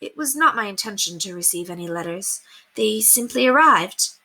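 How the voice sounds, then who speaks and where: A woman's voice: medium pitch, expressive, normal speed, vocal fry, sharp tone, exaggerated voice. A woman voicing a polite, cheerful young governess in a children's storybook, composed and well-spoken. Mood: polite, apologetic. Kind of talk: children's storybook read aloud.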